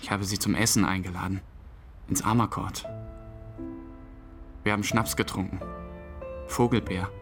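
A shy young man in a radio drama, with a fairly low voice. He is understated and a little hesitant, like someone awkwardly telling his own story in an interview. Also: steady tone, moderate pace, vocal fry, long pauses, soft background music. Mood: reflective. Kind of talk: naturalistic radio play acting, interview-like narration.

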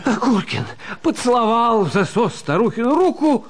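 Expressive man narrating, lively pitch. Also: moderate pace. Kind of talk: expressive scripted story narration.

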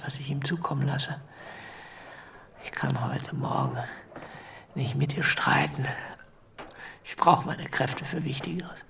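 An actor playing a stubborn, suspicious, austere revolutionary idealist, curt and unbending, in a radio drama. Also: male voice, medium pitch, creaky, fairly bright tone, slight background noise, exaggerated voice. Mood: weary, cold.

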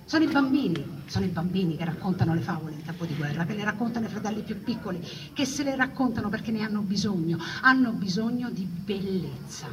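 A woman tells a story as a stage monologue. Her voice sits at a medium pitch, and she speaks conversationally, stacking emphatic phrases with dramatic pauses. She is picked up from a distance, with clear ambient noise around her. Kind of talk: theatrical narrative monologue to an audience. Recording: distant mic, noisy live ambience.

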